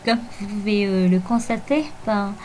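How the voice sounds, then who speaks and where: A woman whose first language is Malagasy, narrating a journal planning video in a hesitant way with many fillers and soft laughs. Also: mid-range voice, fairly even intonation, moderate pace. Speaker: bullet journal vlogger. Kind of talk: voice-over narration of a plan with me. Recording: faint music behind voice-over.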